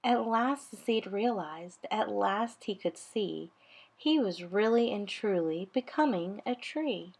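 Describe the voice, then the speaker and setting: A woman narrates a rhyming picture book for children. Her pitch sits in the middle and her pace is slow. She reads with expressive, deliberate rhythm, as a storytime reader does. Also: creaky.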